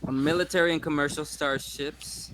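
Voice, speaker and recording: A man's voice: on the high side, steady tone, moderate pace, fairly bright tone, rough recording. A man talking casually as a player in a tabletop game. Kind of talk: casual chat, reading rules aloud.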